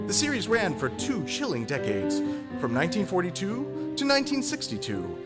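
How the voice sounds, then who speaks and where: A male announcer hosts in grand, theatrical vintage radio fashion. His voice is high for a man and very lively in pitch, with music clearly audible behind him. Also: moderate pace. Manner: grandiose, showmanlike. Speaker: host, announcer.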